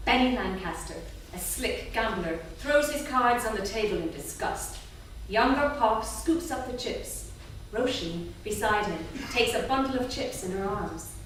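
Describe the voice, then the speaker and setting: A woman's voice: a little high, lively intonation, unhurried, a bit bright. A woman reading stage directions as scripted narration at a staged drama reading. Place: theatre stage. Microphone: distant room mic. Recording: echoey theatre room, distant mic, hiss.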